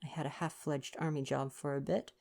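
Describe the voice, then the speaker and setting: A woman's voice: low voice, flat delivery, brisk, gravelly, a little mellow. A woman voicing a polite, reserved young man, an ex-soldier, in a read-aloud novel. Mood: modest.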